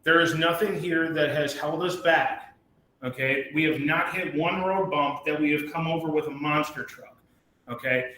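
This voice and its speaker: Host, male, 30s, pumped and emphatic, bright tense voice, boomy office room on a call. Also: a little hiss or hum. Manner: emphatic, keeps tagging sentences with "okay?", swears freely. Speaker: company leader hosting a live community call. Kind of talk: hyped live-stream pep talk. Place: large office meeting room. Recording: echoey room.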